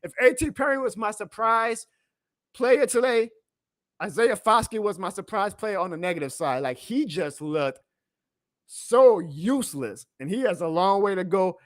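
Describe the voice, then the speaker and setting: Excitable new guy on a live stream, kinda high voice going hoarse, dry sound. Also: normal speed, no echo. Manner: excitable, emphatic, plugs merch, reads chat aloud. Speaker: sports fan livestream host. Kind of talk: live reaction stream, chatty and hyped.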